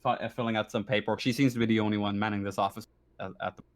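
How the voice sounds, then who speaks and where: A man working as game master, improvising narration of a tabletop roleplaying game in a halting way, often stammering and repeating words. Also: fairly low pitch, fairly even intonation.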